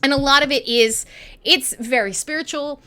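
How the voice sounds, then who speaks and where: A woman's voice: sits high, moderate pace, sharp tone. A Canadian woman hosting an irreverent radio show, chatting casually in a sweary, jokey, rambling way. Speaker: online radio show host and DJ. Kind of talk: casual irreverent live radio chat between songs.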